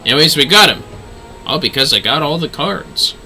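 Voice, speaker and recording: A man's voice: on the high side, lively intonation, moderate pace, sharp tone, faint music behind. A man livestreaming a video game with casual running commentary.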